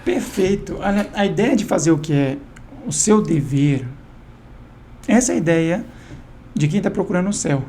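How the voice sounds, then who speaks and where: A man's voice: high-pitched, animated, normal speed, pauses often, warm tone, slight background noise. A man, a guest on a podcast, giving advice in an emphatic, direct way. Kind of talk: casual interview chat.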